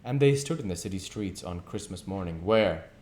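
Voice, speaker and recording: A man narrating a literary story as an audiobook reader, with deliberate, emphatic phrasing and occasional stumbles. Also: sits low, lively intonation, a little mellow.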